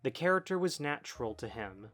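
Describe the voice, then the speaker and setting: A man's voice: mid-range voice, sing-song, fairly quick, fairly bright tone. A man reading scripted documentary narration as a video essay narrator. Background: background music.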